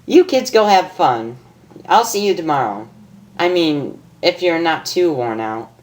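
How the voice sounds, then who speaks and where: Man doing a high, bouncy pony girl. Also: very animated, unhurried. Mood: teasing. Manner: playful, singsong. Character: bouncy teasing female cartoon pony friend.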